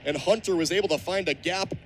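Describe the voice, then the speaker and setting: Young american guy calling football on radio, pretty high voice, light outdoor stadium noise. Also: fast talker. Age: 20s. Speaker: radio play-by-play announcer. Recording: outdoor stadium broadcast, ambient background noise.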